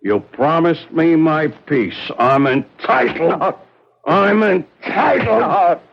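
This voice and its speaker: A man's voice: fairly high pitch, animated, slow pace, vocal fry, exaggerated voice. An actor playing a scheming male ex-con who coaxes, pleads and threatens, in a 1970s radio drama. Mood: pleading. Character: scheming male ex-con, wheedling then menacing. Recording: dull vintage radio broadcast.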